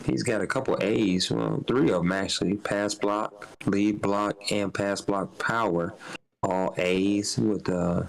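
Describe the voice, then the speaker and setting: A man's voice: sits low, vocal fry, a little hiss or hum, poor audio. A man in a live podcast, chatting loosely in slang and reading out player stats. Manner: loose slangy talk, reads stats aloud. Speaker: league member on podcast. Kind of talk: casual banter.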